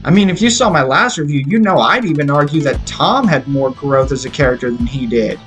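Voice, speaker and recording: Higher-pitched man narrating over prominent music. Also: a little fast, a bit bright. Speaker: video essayist reviewing a film. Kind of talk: scripted review narration. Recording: music playing behind the voice. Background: guitar rock music.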